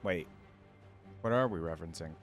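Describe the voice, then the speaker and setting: A man with a slightly low voice hosts a reaction video. His delivery is casual commentary, at times read from the screen, over faint music. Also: moderate pace. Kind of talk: casual reaction commentary, partly reading descriptions aloud.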